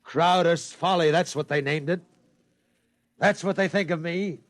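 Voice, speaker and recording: A man's voice: fairly high pitch, measured pace, no room sound, close to an everyday voice. An American man playing a blunt, ambitious and ruthless tycoon in 1950s radio drama style. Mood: bitter, defiant. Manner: forceful, blunt, imperious. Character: ambitious, ruthless male tycoon obsessed with conquering space.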